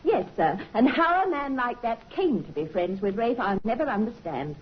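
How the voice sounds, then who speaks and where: A chatty, lively housewife. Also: female voice, fairly high pitch, normal speed, slightly rough. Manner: talkative, lively gossiping. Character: chatty, plain-spoken working-class housewife. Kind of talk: 1950s radio acting. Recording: dull vintage radio broadcast with faint hiss.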